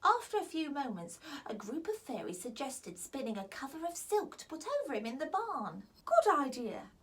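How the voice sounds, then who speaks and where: A woman reads a children's story aloud in a high, playful voice. Her delivery swoops widely through the lines. She drops into soft whispers for the quiet moments. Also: moderate pace, recorded from a distance. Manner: expressive, with whispers and laughs.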